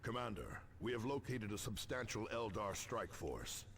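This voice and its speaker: An actor playing an authoritative, grave space marine captain who speaks formally in a video game transmission. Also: male voice, lowish voice, big pitch swings, moderate pace, creaky, a bit bright, exaggerated voice. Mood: grave, commanding. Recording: game audio with faint noise.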